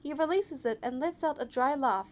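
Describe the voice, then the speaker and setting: A woman narrating a game playthrough, reading the story text aloud in a steady, even way. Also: high-pitched, moderate pace.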